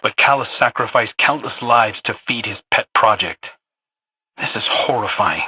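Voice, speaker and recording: A man's voice: medium pitch, unhurried, sharp tone, slightly rough, heavily acted. An actor playing a wry, alert male scouting companion in a video game, speaking expressively and matter-of-factly. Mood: troubled. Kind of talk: scripted video game character dialogue.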